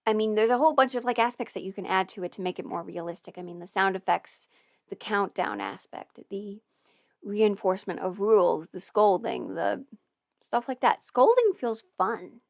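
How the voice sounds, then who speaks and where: A woman's voice: mid-range voice, big pitch swings, moderate pace, vocal fry, darkish tone, no echo. Young woman rambling thru a livestream q&a, lots of um and like, dead dry close mic. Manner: chatty and meandering, many fillers and restarts. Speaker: audio performer and channel host. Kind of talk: casual livestream Q&A.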